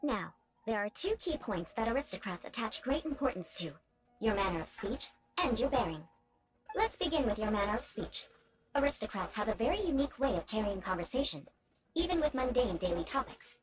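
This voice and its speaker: A woman's voice: fairly high pitch, sing-song, fairly quick. An actress voicing a haughty, prim young noblewoman in a video game, speaking formally and imperiously with ornate phrasing. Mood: cool, stern. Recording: game music behind the voice.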